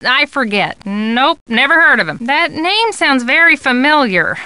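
An actress playing a chatty, wry American history expert in a video game. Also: female voice, high voice, sing-song, a little slow, bright, forceful tone, clear, resonant tone, no echo, exaggerated voice. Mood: chatty, helpful. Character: chatty, wry woman who knows local history. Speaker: game voice actor. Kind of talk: conversational video game dialogue.

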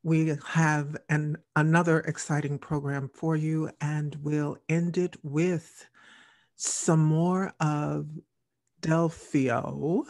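An older woman hosting an interview show on a video call, with measured delivery. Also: sits low, flat delivery, a little mellow, dry recording, studio quality. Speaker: radio show host. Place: indoor room on a video call.

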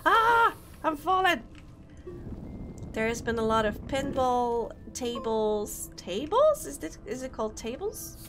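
A woman's voice: high-pitched, very animated, takes it slowly. A young woman with a Polish accent, a Polish native speaker chatting bubbly on a gaming live stream and greeting viewers. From Poland. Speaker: VTuber streamer. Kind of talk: casual live-stream chat while gaming.

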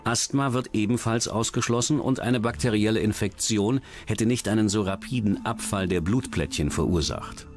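A man narrates a true-crime documentary from a script. His voice sits on the low side, and music plays clearly underneath it. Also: moderate pace, few pauses.

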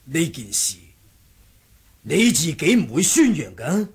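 Cunning martial monk for 1980s radio drama: rather high, lively pitch, deliberate and sly, husky. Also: male voice, full character voice. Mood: calm scheming. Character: cunning martial monk who feigned muteness, scheming and composed.

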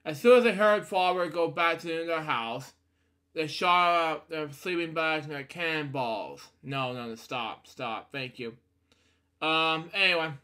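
Man, higher-pitched voice, bright and forceful, halting storybook reading, frequent asides. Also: smooth. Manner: halting, often breaks off to comment. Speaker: storybook reader. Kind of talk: read-aloud bedtime story with asides. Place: indoor room at a computer.

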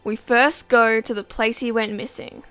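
A woman's voice: fairly high pitch, flat delivery, normal speed, a bit bright, dry recording, faint music behind, close to an everyday voice. A woman voice acting a questioning, determined girl on a spaceship in an amateur game roleplay.

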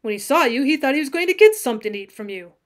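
A woman's voice: high voice, lively intonation, rapid-fire. Woman playing a folksy, mock-mournful young cowboy. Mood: defensive. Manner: folksy, aggrieved, insistent. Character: young cowboy, mock-mournful, earnest, bluffing a tall tale. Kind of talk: acted storybook dialogue.

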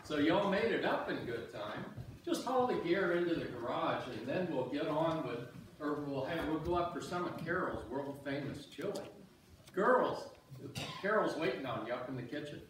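A hearty middle-aged host, acted by a man, eager and high-pitched for a man. He is heard from far off in a very echoey hall during a stage reading. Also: animated, normal speed, close to an everyday voice. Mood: excited, hearty. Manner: hearty, calling out. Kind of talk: staged reader's theater acting. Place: church sanctuary stage, far camera. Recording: very echoey church hall from far off, faint noise.

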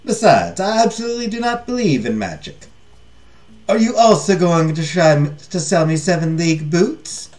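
A man's voice: fairly high pitch, very animated, moderate pace, darkish tone, soft background music, pitched above the performer's own voice. A man voicing a grumpy, jaded king from a storybook, imperious and dismissive. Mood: scornful. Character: haughty, jaded, grumpy king who scorns magic. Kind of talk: storybook character reading.

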